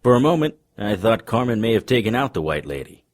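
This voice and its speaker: An actor playing a wry, unflappable occult detective, narrating an audio drama in a dry noir style. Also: male voice, lowish voice, big pitch swings, quick pace. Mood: wry, tense.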